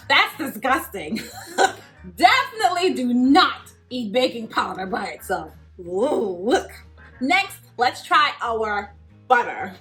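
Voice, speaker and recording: A woman hosting a children's Bible lesson, cheerful and animated, laughing often as she talks to kids. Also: on the high side. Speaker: children's story host.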